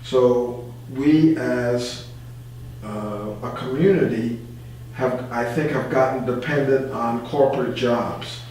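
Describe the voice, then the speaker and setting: Middle-aged man, mid-pitched voice, halting deliberate delivery, radio discussion guest, distant from the mic. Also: a little mellow. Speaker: community board member and guest. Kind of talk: earnest discussion on a radio talk show. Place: echoey indoor room. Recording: very echoey room, distant mic, faint hum.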